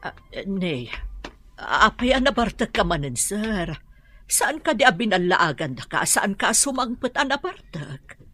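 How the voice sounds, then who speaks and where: A woman's voice: medium pitch, very animated, measured pace, a bit bright, faint music behind. A woman acting a humble, long-suffering older housemaid and mother in an Ilocano radio drama. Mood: concerned.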